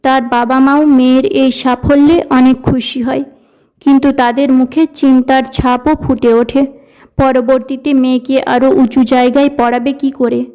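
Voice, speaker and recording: A woman's voice: high voice, level tone, a little slow, warm tone, poor audio. A woman narrating a scripted cartoon story in a storybook style.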